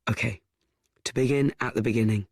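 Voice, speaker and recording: Wry, mid-pitched teenage boy. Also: fairly quick, vocal fry, no echo, clean studio sound. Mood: deadpan. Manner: deadpan, confiding, self-deprecating. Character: wry, awkward, frank teenage boy narrating his life. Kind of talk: first-person radio play narration.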